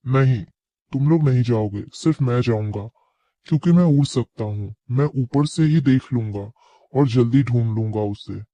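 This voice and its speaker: Man as a mellow, resolute crow friend. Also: mid-range voice, normal speed, no echo, close to an everyday voice. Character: clever, helpful male crow friend in a children's fable. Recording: slightly dull, muffled recording.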